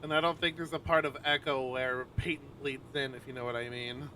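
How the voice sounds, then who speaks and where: A man livestreaming a visual novel, mixing expressive, sweary casual chat with reading the narration aloud. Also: mid-range voice, fairly quick, a little hiss or hum, poor audio.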